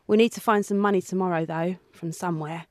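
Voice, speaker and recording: A woman's voice: lowish voice, fairly even intonation, quick pace, dry recording, lightly acted. A woman playing a brash, tough young woman who narrates, blunt and coarse-tongued. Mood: bitter. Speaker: narrator and character. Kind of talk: radio drama acting and narration.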